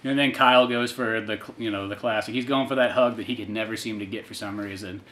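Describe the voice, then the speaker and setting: A man's voice: lowish voice, steady tone, quick pace, bright, forceful tone. A man hosting a podcast, giving rambling, casual commentary on his comic with some swearing. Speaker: podcast host, comic creator.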